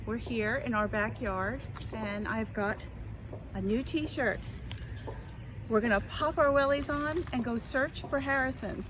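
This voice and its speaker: An excited woman from North Carolina greeting people in a home video from her backyard. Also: fairly high pitch, measured pace, background noise. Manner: excited, cheerful. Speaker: viewer sending a video. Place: outdoors in a backyard.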